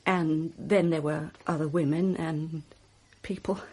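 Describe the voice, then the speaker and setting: An actress playing a wounded, fragile film star under suspicion in a radio mystery, halting and dramatic. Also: female voice, low-pitched, steady tone, a little mellow, husky. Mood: anguished. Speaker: actor.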